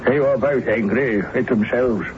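An actor playing a nervous, loyal household servant in a radio drama, speaking with hesitant, apologetic, formal politeness. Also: male voice, mid-range voice, unhurried, natural voice. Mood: nervous, deferential. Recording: muffled old radio broadcast, faint noise.

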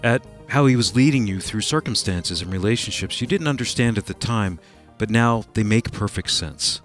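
A man's voice: lowish voice, lively intonation, normal speed, soft background music. A man co-hosting a radio program, reading a scripted introduction in an announcer's role. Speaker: radio show co-host and announcer.

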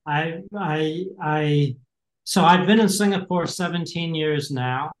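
A man's voice: on the high side, slow pace. An American man in his fifties, an executive coach speaking as an interview guest in a reflective way, with many fillers and restarts. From United States. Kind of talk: casual interview talk. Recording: roomy echo.

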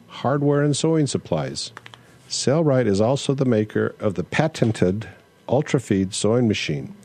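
A man hosting a casual podcast, talking in a relaxed, conversational way and reading listener emails aloud. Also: on the low side. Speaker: podcast host. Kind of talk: casual solo podcast with ad read and listener mail.

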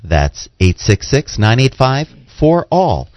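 A man with a lower voice and very animated intonation works as a radio co-host and reader. He delivers announcements and scripture aloud. The audio is dry and slightly dull. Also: slow pace, no echo.

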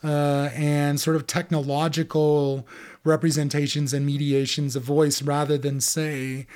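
A man's voice: on the high side, little pitch movement, measured pace, a little mellow. A man, an author being interviewed, explaining academic ideas in a thoughtful, conversational way with frequent fillers.

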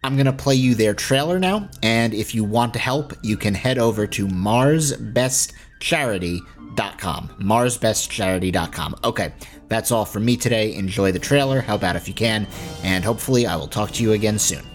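A man's voice: fairly low pitch, lively intonation, moderate pace, soft background music. A man hosting a podcast, giving an informal, conversational announcement to listeners. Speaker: podcast host.

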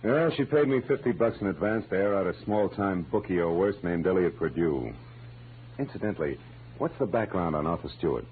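An actor playing a hard-boiled American private detective in an old radio drama, terse, dry and wry. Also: male voice, deep voice, lively intonation, brisk, a little hiss or hum. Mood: dry, probing. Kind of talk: 1940s radio drama acting with first-person narration. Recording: muffled old-time radio recording with music.

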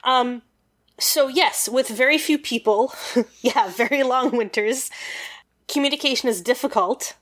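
A woman's voice: sits high, a little slow, a bit bright. A woman in a casual podcast chat, explaining history with lots of fillers and rambling asides.

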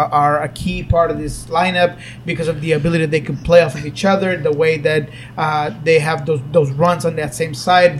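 A man's voice: on the high side, a little hiss or hum. A man with a British accent, casually analysing soccer on a podcast and often murmuring agreement. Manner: relaxed soccer analysis with frequent affirming murmurs. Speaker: host.

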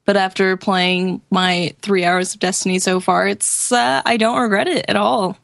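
A woman's voice: medium pitch, steady tone, moderate pace, creaky, a bit bright, no room sound, studio quality. A woman co-hosting a podcast, talking casually in a gushing, chatty way. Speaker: podcast co-host. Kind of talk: casual, enthusiastic chat.

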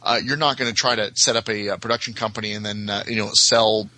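A man chatting casually as a podcast guest, explaining things at length in a halting, wordy way. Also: lowish voice, fairly even intonation, sharp tone. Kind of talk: casual chat about TV shows.

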